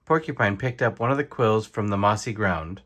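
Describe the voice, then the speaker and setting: A man reading a children's bedtime story aloud in an expressive narrating style. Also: on the low side, normal speed. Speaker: storybook reader.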